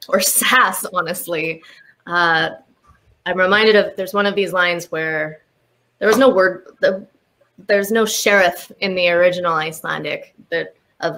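A woman's voice: lowish voice, a bit bright. A woman translator musing on a video call panel, full of fillers and trailing thoughts. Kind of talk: reflective panel discussion.

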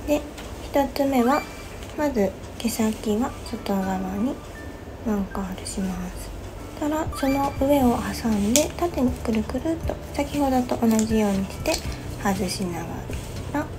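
A young woman with a slightly high voice talks through a hair styling tutorial in gentle, step-by-step instructions. She is a hairstylist in her twenties, and clear background music runs under her voice-over. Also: expressive, measured pace, poor audio. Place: small bright studio indoors.

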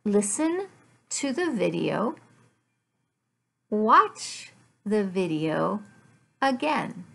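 A middle-aged woman gives a language lesson for learners. Her delivery is extremely slow and deliberate, broken into short phrases with clear pauses. Her mid-pitched voice often drops into a gravelly creak. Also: big pitch swings, a bit bright. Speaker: English teacher. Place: small living room at home, indoors.

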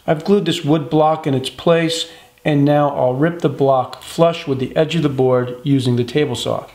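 A man in his thirties calmly walking through the steps of a woodworking project as a channel host, recorded in his workshop. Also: a little high, moderate pace. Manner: calm step-by-step explaining. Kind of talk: instructional workshop narration. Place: woodworking shop, indoors. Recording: echoey workshop room.

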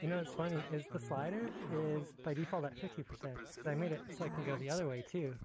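Over a busy crowd backdrop, a man explains things casually. His voice sits a little high for a man. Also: quick pace.

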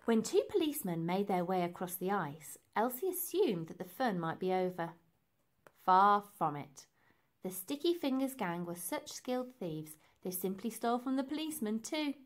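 A woman reading a children's book aloud in an animated way, with dramatic emphasis for young listeners. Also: medium pitch, normal speed. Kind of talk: expressive storybook narration.

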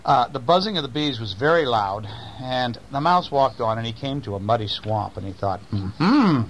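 A man's voice: mid-range voice, animated, fairly quick, a bit bright, poor audio. A middle-aged man playfully telling a bedtime story to children, improvising and checking in with his listeners as he goes. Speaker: father reading bedtime stories to children. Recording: faint noise, homemade recording.

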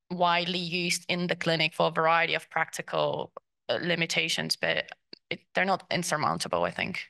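Gravelly woman on a conference panel. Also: low-pitched, sharp tone. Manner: thoughtful, hedging with fillers. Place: conference stage, large hall. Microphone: handheld mic.

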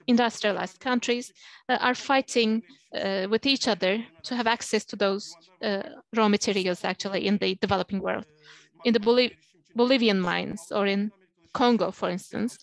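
Woman interpreter, fairly high voice, halting delivery with fillers, video call audio. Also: poor audio. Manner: hesitant, frequent fillers.

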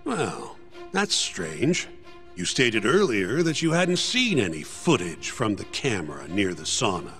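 A man's voice: lowish voice, wildly swooping pitch, unhurried, a bit bright, faint music behind, exaggerated voice. A man playing a composed, methodical defense attorney in a video game, polite and probing in his delivery. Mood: pointed, confident. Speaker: defense lawyer. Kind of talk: English-dub video game cutscene acting.

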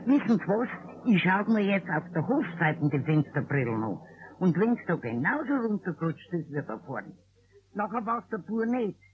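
An actor playing a fretful, apologetic middle-aged caretaker in an old radio play. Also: male voice, high voice, very animated, normal speed. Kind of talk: 1960s radio play acting. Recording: dull echoey old radio recording.

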